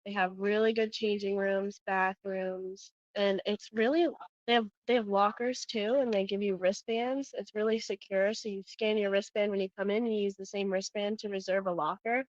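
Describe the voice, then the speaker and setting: An American woman talks casually as a travel co-host, listing details in plain words. Her pitch is average for a woman. The recording is dry and a touch dull. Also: steady tone, normal speed, no room sound. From United States. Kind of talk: casual descriptive chat.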